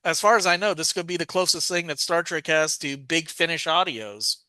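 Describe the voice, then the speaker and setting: A man hosting a podcast in casual review chat, rambling and haltingly repeating and restarting his phrases. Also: a little high, pushed and bright, dry recording. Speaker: podcast host.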